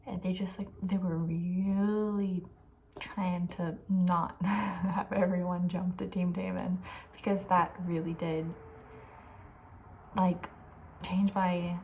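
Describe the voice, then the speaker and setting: A woman talking softly and breathily in a casual, opinionated ASMR ramble, often slipping toward a whisper. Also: on the low side, slight background noise, poor audio. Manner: chatty, informal, opinionated, trailing off. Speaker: ASMR video host. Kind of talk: soft-spoken ASMR, casual rambling chat.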